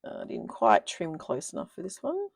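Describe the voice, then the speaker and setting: A woman hosts a casual craft live stream from home. Her voice sits a little low, and she is slightly sniffly. She chats easily, muses over her choices out loud, and laughs often. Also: huge pitch swings, moderate pace, gravelly, husky, dry recording, clean studio sound. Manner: chatty, thinking aloud, laughs often. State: sniffly from a cold.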